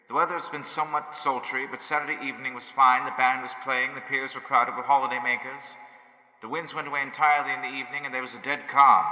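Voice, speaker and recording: An actor playing a solemn newspaper correspondent, narrating a radio horror drama in a theatrical, measured, ominous way. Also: male voice, medium pitch, bright, forceful tone. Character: solemn male newspaper correspondent reporting eerie events. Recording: echoey hall-like reverb.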